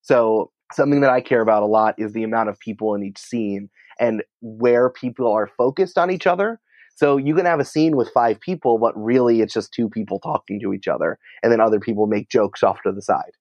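American guy chatting as a podcast guest, lowish voice, casual and emphatic, kinda lo-fi sound. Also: a little fast, darkish tone, no echo. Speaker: podcast producer and writer, interview guest. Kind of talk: conversational interview answers.

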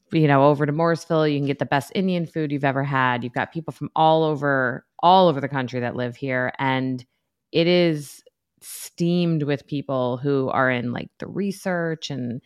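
A woman, a psychologist co-hosting a podcast, chatting in a relaxed, rambling way with many fillers. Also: low-pitched, moderate pace, clear, resonant tone, no room sound. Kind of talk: casual podcast chat.

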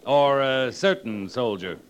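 An actor playing a stern, sardonic cavalry captain in a radio drama, speaking in dry, clipped, formal phrases. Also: male voice, mid-range voice, very animated, slow. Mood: stern, wry. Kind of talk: 1950s radio drama acting. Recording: hissy vintage radio recording.

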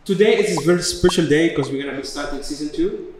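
A man's voice: fairly high pitch, fast talker, background noise. A man hosting a casual interview, upbeat, with loose informal grammar. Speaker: podcast host. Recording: roomy echo.

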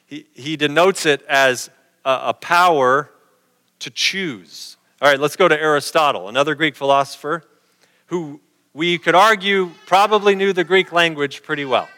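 A man's voice: fairly high pitch, normal speed, pushed and bright, long reverb tail. A middle-aged man preaching a sermon in a conversational way, posing questions to his listeners.

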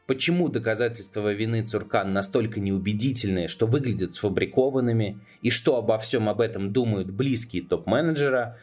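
A man's voice: fairly low pitch, a little mellow. A man narrating a scripted documentary podcast as its narrator. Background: background music.

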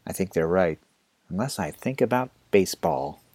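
A man's voice: sits low, expressive, moderate pace, a little mellow, husky, dry recording. A man playing a wry, awkward ninth-grade boy with a grown-up mind, hesitant and thoughtful, in an audio drama. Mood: shy, awkward.

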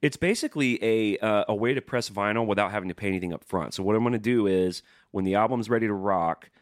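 A man's voice: fairly low pitch, quick pace, dry recording, studio quality. A young man co-hosting a podcast, chatting casually and loosely, swearing now and then. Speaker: podcast co-host. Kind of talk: casual podcast chat, reading listener emails.

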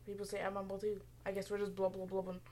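A woman's voice: medium pitch, monotone, fast, mellow. A woman co-hosting a podcast in casual, joking banter, rambling and playfully sarcastic. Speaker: podcast co-host.

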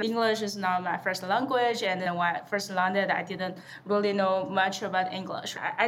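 A woman's voice: mid-range voice, a bit bright, slight background noise. A woman from China with a Chinese accent, answering conversationally as a founder being interviewed, in an informal way. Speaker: startup founder, interview guest.

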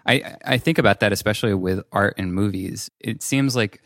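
A man with French as his first language conducts a casual, reflective interview. His voice sits a little low. The sound is very dry, with no room echo. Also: normal speed, fairly bright tone.

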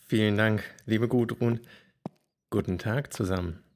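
A man's voice: lowish voice, steady tone, measured pace, darkish tone, slight background noise, close to an everyday voice. A man playing a courteous older stranger with old-fashioned manners and a faint menace, in a children's audio drama. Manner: formal, old-fashioned politeness.

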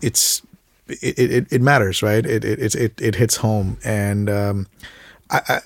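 A man in his thirties who grew up in India talks casually as a podcast guest, his voice gravelly with fry. He stumbles through many restarts and fillers, then livens up to act out the people he quotes. The sound is dry and close. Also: on the low side, measured pace, dry recording. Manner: hesitant, lots of stammered restarts and fillers; animated, acts out quoted voices, laughs. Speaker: podcast guest, creative director. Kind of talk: casual interview storytelling.

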